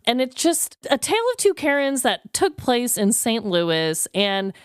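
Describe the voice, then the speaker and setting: A woman's voice: on the high side, sing-song, measured pace, smooth, no echo, clean studio sound. A woman co-hosting a podcast in casual, jokey, informal conversation, telling stories for comedy. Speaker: podcast co-host. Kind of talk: casual comedic storytelling chat.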